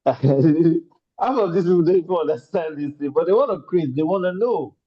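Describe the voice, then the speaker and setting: A man's voice: fairly high pitch, moderate pace, slightly rough. A man speaking animatedly as a speaker in an online panel discussion, chatting conversationally over a video call. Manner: animated, conversational, laughs between points. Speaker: webinar speaker. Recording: slightly muffled call audio.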